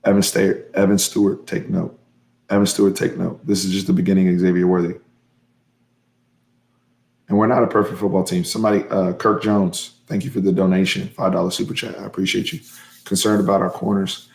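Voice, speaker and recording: A hoarse man hosting a live fan stream, recapping a football game in an excitable, emphatic way and repeating his points. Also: deep voice, brisk. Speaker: host of a fan sports channel.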